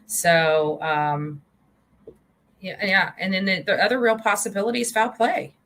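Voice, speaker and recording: A woman giving casual, unscripted online commentary in a halting, conversational way, often repeating herself. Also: medium pitch, fairly even intonation, a bit bright, a little hiss or hum.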